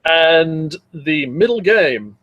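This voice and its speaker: A man's voice: on the high side, animated, extremely slow, muffled recording. A man with an Australian accent chatting casually as a podcast co-host, with a joking, sweary manner.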